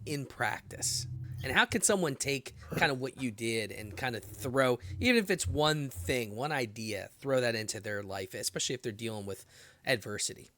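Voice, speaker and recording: Fast, direct Northeastern man. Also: medium pitch, no echo. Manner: fast, loud and direct, casual. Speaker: podcast host. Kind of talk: casual interview chat.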